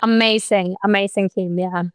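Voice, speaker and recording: A young woman from Colombia talks through her career as an interview guest, her voice smooth and clear. She chats easily, filling her talk with "like" and "you know". The sound is close and dry. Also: mid-range voice, steady tone, moderate pace, dry recording. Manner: chatty, many "like" and "you know" fillers. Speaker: guest, real estate agent. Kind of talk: casual interview chat.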